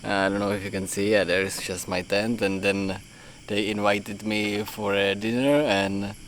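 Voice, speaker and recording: A man talking casually and unscripted as a travel vlogger, haltingly thinking aloud as he goes. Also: fairly low pitch, completely flat delivery, fairly bright tone. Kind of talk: casual, unscripted vlog talk. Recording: noisy outdoor and in-car vlog audio.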